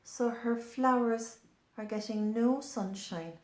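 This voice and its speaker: A woman's voice: on the high side, takes it slowly, slight background noise. A woman reading a picture book aloud to young children, explaining and pointing things out as she goes. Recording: echoey room.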